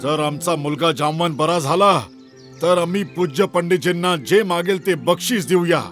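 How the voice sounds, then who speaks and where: A regal bird king played by a man for an animated children's story. He speaks formally and with command, a worried father on a throne, in a slightly high male pitch over clear background music. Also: normal speed, lightly acted.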